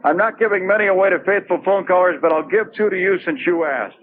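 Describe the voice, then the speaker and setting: Fast, high-pitched male radio host. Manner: brisk, blunt, bantering. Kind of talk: live radio hosting. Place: live remote broadcast at a venue. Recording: thin phone-like 1980s radio tape.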